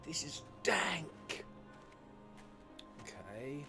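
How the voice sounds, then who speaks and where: A man hosting a reaction video, slangy and excitable, talking to viewers over game audio. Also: a little high, extremely slow, faint music behind. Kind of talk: casual live reaction commentary.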